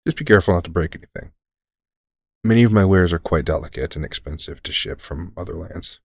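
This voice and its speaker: A man playing a deranged centuries-old elf shopkeeper who is courteous, formal and unsettlingly calm, in an audio roleplay. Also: low voice, brisk, vocal fry, husky, dry recording, studio quality, full character voice. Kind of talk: scripted audio roleplay addressing the listener.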